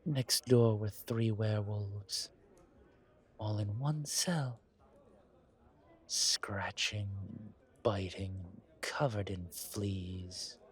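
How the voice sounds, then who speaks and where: A man playing a genteel, world-weary vampire in an audio roleplay, speaking in a courtly, deliberate way with pauses and sighs. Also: fairly low pitch, slightly rough, exaggerated voice. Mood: wry distaste. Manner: courtly, deliberate, pausing, sighing between phrases. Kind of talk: slow audio roleplay acting, addressed to listener.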